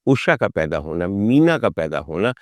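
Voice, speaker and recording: A man hosting a talk, telling stories dramatically and rhetorically, with deliberate build-ups and repeated phrases. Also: lowish voice, sing-song, dry recording, clean studio sound. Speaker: host and storyteller of a music history talk. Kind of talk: dramatic spoken storytelling to the audience.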